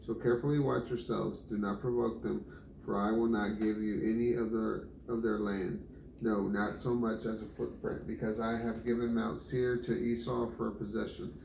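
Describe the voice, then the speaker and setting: A man reading scripture aloud in a flat, steady way. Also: fairly low pitch, normal speed, creaky, roomy sound, slight background noise. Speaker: Bible reader.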